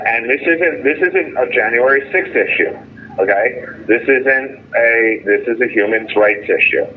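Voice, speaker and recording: An indignant man speaking off the cuff over the phone, halting and repeating himself as he airs grievances in an emphatic way. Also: medium pitch. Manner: halting, repetitive, with stumbling restarts; indignant, emphatic storytelling with quoted dialogue. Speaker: detainee calling in from jail. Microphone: telephone. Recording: tinny, noisy phone line.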